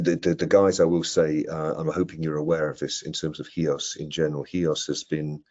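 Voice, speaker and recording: A middle-aged man answering technical questions in an online webinar, explaining things in a measured, careful way. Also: rumbling low, a little mellow. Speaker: product presenter answering questions. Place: indoor room on a video call. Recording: muffled video-call audio.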